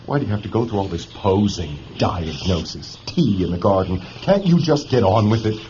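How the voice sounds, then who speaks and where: A man's voice: lowish voice, expressive, moderate pace, warm tone, slight background noise, natural voice. An actor playing a bitter, sardonic male dissident under psychiatric care in a radio drama. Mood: bitter, mocking. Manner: sardonic, brooding. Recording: dull vintage radio recording, music behind.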